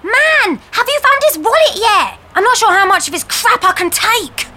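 A woman's voice: extremely high pitch, sing-song, moderate pace, sharp tone, pitched above the performer's own voice, full character voice. A woman playing an impatient young male mugger, talking in brash, exasperated street slang in a comedy sketch.

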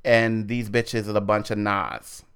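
Smooth-voiced man chatting on a podcast. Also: lowish voice, level tone, measured pace, fairly bright tone. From Barbados. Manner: rambling, easily flustered, quotes lyrics. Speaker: podcast co-host. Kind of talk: casual chat.

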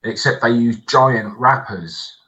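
A man's voice: medium pitch, slow, pushed and bright. A British man in his late forties chatting casually as a podcast co-host, on a dull mic. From United Kingdom. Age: late 40s. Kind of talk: casual chat.

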